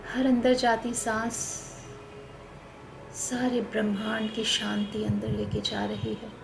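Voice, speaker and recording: An Indian woman leading a guided meditation in a calm, soothing, instructional manner with spaced phrases. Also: fairly high pitch, takes it slowly, vocal fry, music in the background, rough recording. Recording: noticeable background noise.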